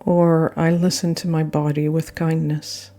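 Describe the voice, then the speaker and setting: A woman guiding a yoga nidra meditation with gentle, unhurried phrasing and frequent pauses. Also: low-pitched, little pitch movement. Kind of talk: guided meditation narration. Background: soft meditation music.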